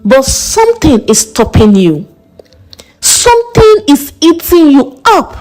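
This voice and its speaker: A warm mother from a folktale, put on by a woman narrator. She is reassuring and frank, coaxing in a high, very animated voice. She speaks slowly over faint music. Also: exaggerated voice, poor audio. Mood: affectionate, coaxing. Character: warm, reassuring, direct middle-aged Nigerian mother.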